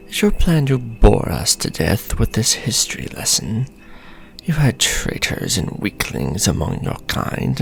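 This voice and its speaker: A man playing a haughty, centuries-old vampire lord in an ASMR fantasy roleplay, speaking with pompous, deliberate contempt. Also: mid-range voice, gravelly, slight background noise, full character voice.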